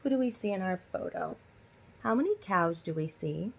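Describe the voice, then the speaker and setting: A woman's voice: fairly low pitch, lively intonation, moderate pace, gravelly, slightly rough. A woman teacher reading a children's story aloud and prompting listeners with instructive questions.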